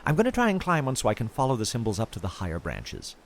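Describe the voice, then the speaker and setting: Casting: male actor as an entranced field researcher losing his grip, hugely animated pitch, halting audio-fiction log delivery. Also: slight background noise. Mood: intrigued, uneasy. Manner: dramatic, halting, wondering delivery. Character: eerily fascinated male field researcher slipping into madness.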